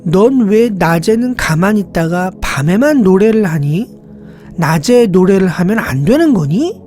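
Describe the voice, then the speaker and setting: A woman's voice: mid-range voice, expressive, unhurried, mellow, close to an everyday voice. A woman plays a storybook owl, curious and blunt. It questions and chides in a mid-range voice, with music underneath. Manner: inquisitive, chiding. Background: background music.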